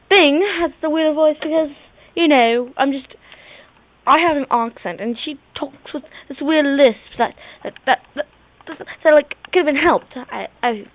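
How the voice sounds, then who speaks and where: Woman putting on a high, haughty British diva. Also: no room sound. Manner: haughty, theatrical, calls everyone darling. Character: vain, catty posh British diva wife. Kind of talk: amateur comedy debate skit. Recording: tinny narrow-band audio with faint noise.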